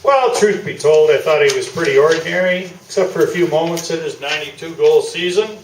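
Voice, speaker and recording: A man acts out a self-important old newspaper sports columnist in a comic radio play. The voice sits fairly high and rambles along, boastful and blustering. It is heard from a distance in an echoey theatre. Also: brisk, a little hiss or hum, natural voice. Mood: smug, nostalgic. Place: small theatre stage, live performance. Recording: echoey stage, distant camera mic.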